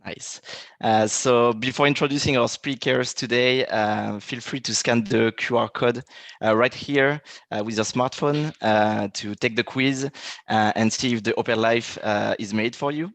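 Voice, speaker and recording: A man hosting an online information session, halting with frequent "uh" before phrases. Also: on the low side, vocal fry, no echo. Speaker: webinar host. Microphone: video call.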